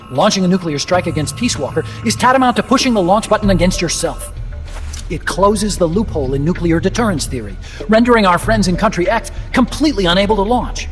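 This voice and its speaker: A fairly high male voice over loud music a disillusioned scientist in a video game. He is earnest and talkative, laying out his explanations with serious conviction. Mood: explanatory, intense. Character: earnest, disillusioned male nuclear weapons scientist, unable to walk.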